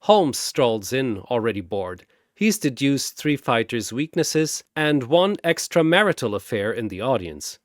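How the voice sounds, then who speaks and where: Slow, dramatic male storyteller. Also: mid-range voice, dry recording, clean studio sound. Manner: dramatic, wry, deadpan asides. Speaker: narrator. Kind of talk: scripted narration.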